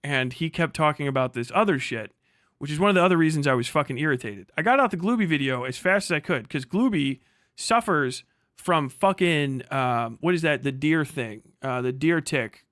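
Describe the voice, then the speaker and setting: A man's voice: fairly high pitch, expressive, moderate pace, a bit bright, no room sound, studio quality. A man doing casual, profane reaction commentary as a streamer, exasperated and emphatic, rambling as he argues.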